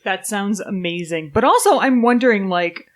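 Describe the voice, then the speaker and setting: A woman's voice: medium pitch, expressive, moderate pace. A woman in her thirties chatting casually on a podcast panel in a blunt, irreverent way. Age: 30s. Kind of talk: casual chat.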